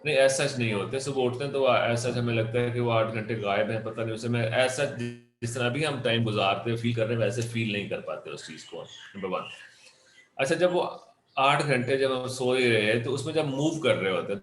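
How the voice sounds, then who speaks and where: A man's voice: mid-range voice, brisk. A man with a Pakistani accent giving an interactive motivational lecture, asking questions and repeating phrases for emphasis. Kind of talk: interactive motivational lecture mixing Urdu and English. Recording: echoey room.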